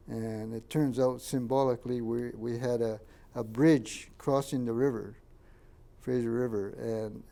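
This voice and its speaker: An older man, a Japanese first-language speaker from Canada, recalls his childhood in a halting oral history interview and points out photos. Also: mid-range voice, gravelly, warm tone. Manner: halting, many fillers and restarts, pointing out photos.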